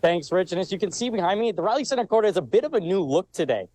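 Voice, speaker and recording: A man's voice: high-pitched, expressive, fast talker, dark tone. A man giving a live news stand-up report with an upbeat delivery.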